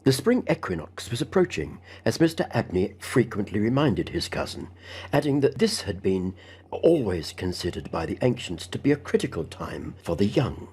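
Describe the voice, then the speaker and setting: A man's voice: fairly low pitch, darkish tone, breathy edge. A man narrating a literary ghost story as an audiobook reader.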